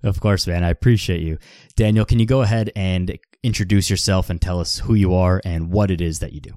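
Low-voiced podcast host, dark and dry. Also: male voice, fairly even intonation, a little fast, no echo. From Canada. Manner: repeats words while thinking, laughs along. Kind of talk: casual interview hosting.

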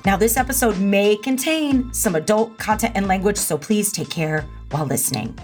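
An upbeat woman host talks in a punchy, slangy way, leaning hard on key words, with a slight hoarseness in her voice. Her pitch sits at an ordinary middle level for a woman. Faint music plays underneath. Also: animated, moderate pace, a little mellow. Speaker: podcast host. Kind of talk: energetic podcast monologue.

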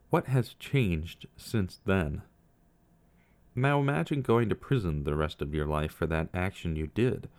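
A young man narrates his podcast from a script, thoughtful and earnest as he poses question after question. His voice sits fairly low for a man.

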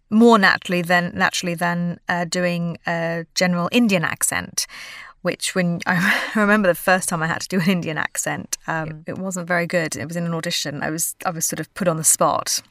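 A woman's voice: on the low side, fairly even intonation, brisk, a bit bright, no echo, clean studio sound. An actress from Australia, chatting in an interview, laughing often and restarting her sentences. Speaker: actress and voiceover artist, interview guest. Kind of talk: casual interview conversation.

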